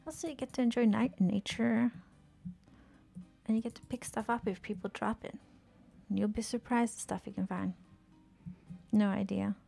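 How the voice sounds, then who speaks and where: Soft, gravelly young woman streamer. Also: mid-range voice, fairly even intonation, moderate pace, long pauses, faint music behind. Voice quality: soothing soft voice. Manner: murmuring to herself, often dropping to a whisper. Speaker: game streamer. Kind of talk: casual gameplay commentary.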